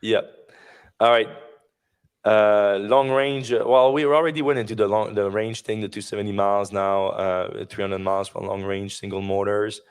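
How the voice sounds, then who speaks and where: A man hosting a casual news podcast, rambling with many fillers and restarts, his voice going hoarse. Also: on the low side, fairly even intonation, moderate pace. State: losing his voice. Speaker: podcast host.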